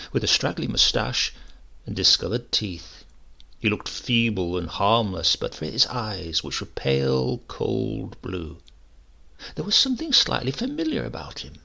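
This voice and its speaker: A male storyteller reads humorous fiction with dry, measured wryness. His voice is heavily creaky and husky, and his intonation swings in an animated way. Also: fairly low pitch. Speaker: story reader. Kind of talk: dramatic narration of a humorous short story.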